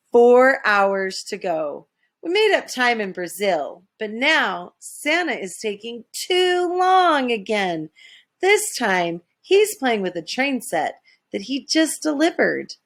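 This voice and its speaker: A woman reading a children's story aloud in an expressive, dramatic way. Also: mid-range voice, vocal fry. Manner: animated, dramatic, with hushed asides. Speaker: storybook reader.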